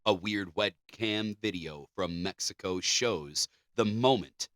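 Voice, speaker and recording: A man's voice: low voice, slow, a bit bright, dry recording, clean studio sound. A man co-hosting a podcast, chatting casually and reading out stories. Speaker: podcast co-host.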